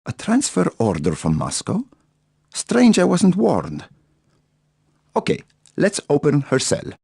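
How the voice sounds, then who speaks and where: A man playing a suspicious, officious Soviet security officer in a video game, with a gravelly, husky voice. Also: a little high, wildly swooping pitch, slow pace, mellow, soft background music, exaggerated voice. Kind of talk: video game cutscene acting.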